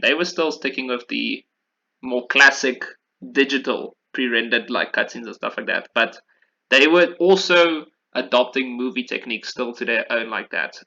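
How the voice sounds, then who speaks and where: A man's voice: mid-range voice, creaky, pushed and bright, highs cut off. A man hosting a podcast, talking casually and with opinions about video games, often repeating phrases for emphasis. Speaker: podcast host.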